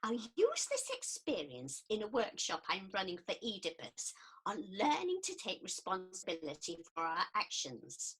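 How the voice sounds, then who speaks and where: A woman's voice: medium pitch, huge pitch swings, normal speed, a bit bright, slightly rough, exaggerated voice, low-quality recording. A woman playing an earnest, prim and easily offended counsellor in a radio comedy set in ancient Greece. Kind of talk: radio play acting.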